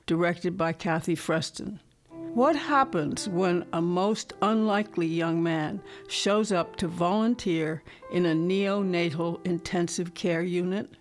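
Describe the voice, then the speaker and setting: A woman reading a scripted introduction to a radio play as its announcer. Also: lowish voice. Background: guitar music.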